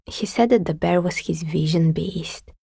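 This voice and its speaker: Husky, dry-witted craftswoman. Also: lowish voice, unhurried, mellow, no room sound, exaggerated voice. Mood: dry, musing. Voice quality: husky, breathy with some creak. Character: wry, pragmatic woman craftsperson, a novelty dicemaker. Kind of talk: video game character dialogue.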